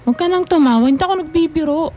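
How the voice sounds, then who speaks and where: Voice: rapid-fire, darkish tone, soft background music, lightly acted. A performer playing a whiny, pouting young boy with a childlike voice in a radio drama. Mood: indignant. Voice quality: high childlike voice. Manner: whiny, sulky.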